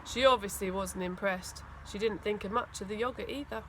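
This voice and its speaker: A woman reading a children's story aloud with expressive, dramatic emphasis. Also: mid-range voice, a little fast, a little hiss or hum. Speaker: school teaching assistant reading aloud.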